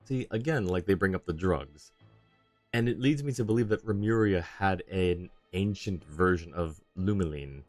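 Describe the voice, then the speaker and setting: A man with a low voice streams a game and comments casually. He muses haltingly as he goes, with game music audible behind him. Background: video game music.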